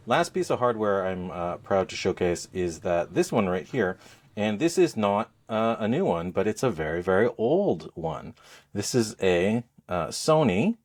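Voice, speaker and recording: A man with a low-pitched voice talks as a podcast co-host in casual conversation. He goes along haltingly, feeling for the right word as he explains. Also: sing-song. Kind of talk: casual chat about gadgets.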